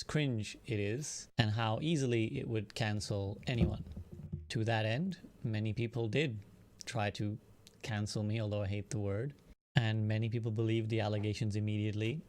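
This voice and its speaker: A man, an online streamer, giving a measured, prepared personal statement in earnest, articulate, formal wording. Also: on the low side, darkish tone.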